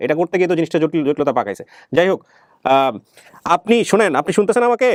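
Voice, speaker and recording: Fast-talking Bangladeshi man hosting a show. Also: on the high side, big pitch swings, dry recording, clean studio sound. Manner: firm, instructing, questioning. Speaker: reunion show host. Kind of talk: interviewing callers on a talk show.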